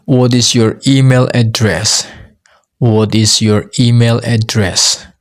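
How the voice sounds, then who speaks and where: A man's voice: medium pitch, fairly even intonation, takes it slowly. A man with a Mizo accent, a native Mizo speaker teaching English, who says each phrase twice for learners.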